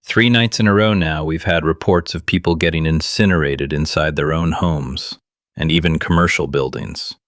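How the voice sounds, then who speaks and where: A very low-pitched, wry conspiracy radio host in an audio drama. He talks to listeners conversationally and conspiratorially, in a close, dry sound. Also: normal speed, a bit bright, no echo. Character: wry conspiracy radio show host, Miller, grown man. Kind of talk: scripted audio drama monologue as talk-show broadcast.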